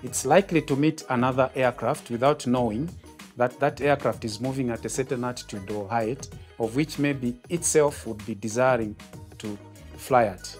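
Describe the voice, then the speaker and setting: A man's voice: medium pitch, normal speed, darkish tone. A middle-aged man with a Zambian accent, explaining tower procedures in a formal, methodical way for an interview. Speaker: air traffic controller, tower. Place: glass-walled control tower room, indoors. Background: background music.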